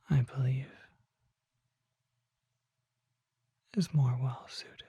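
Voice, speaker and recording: A performer voicing a refined, mysterious vampire shopkeeper in a soft, breathy ASMR whisper, speaking formally with deliberate pauses. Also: medium pitch, no room sound, highs cut off, heavily acted. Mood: soft intrigue. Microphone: close microphone.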